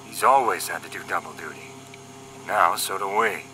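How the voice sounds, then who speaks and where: A man's voice: sits low, normal speed, sharp tone, slight background noise, rough recording. A man acting as a wisecracking young paramilitary agent in a scripted audio drama, cocky and flippant in his banter. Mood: musing.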